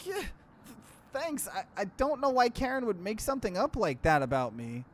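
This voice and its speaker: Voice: medium pitch, sing-song, moderate pace, slight background noise, voice pushed up, close to an everyday voice. A game streamer voicing a timid, earnest, bookish young man who stammers and hesitates. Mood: flustered.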